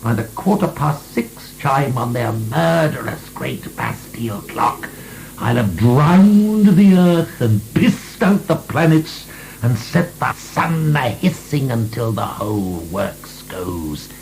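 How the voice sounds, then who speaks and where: An actor playing an imprisoned French marquis in a radio drama, an imperious, mocking, obscene libertine with a theatrical, grandiose delivery. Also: male voice, mid-range voice, lively intonation, slow, gravelly, slight background noise. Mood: gleeful, ranting. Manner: theatrical, grandiose, chuckling, swinging into shouts. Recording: echoey stone-room radio drama.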